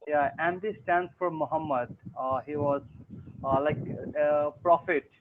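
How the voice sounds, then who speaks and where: A man's voice: on the high side, a little slow, background noise. A young man who is learning English, talking hesitantly with many fillers on an online tutoring call. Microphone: video call. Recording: tinny phone-like call audio.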